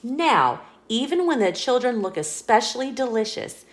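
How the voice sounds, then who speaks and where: A woman reading a children's picture book aloud in a playful, expressive storytelling voice, indoors. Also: a little high, moderate pace. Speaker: storybook reader. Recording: roomy echo.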